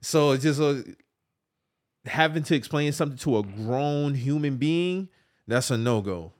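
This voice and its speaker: A man's voice: mid-range voice, animated, unhurried. A man, co-hosting a podcast in casual, emphatic chat and often checking in with "you get what I'm saying?". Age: 30s. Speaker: podcast co-host.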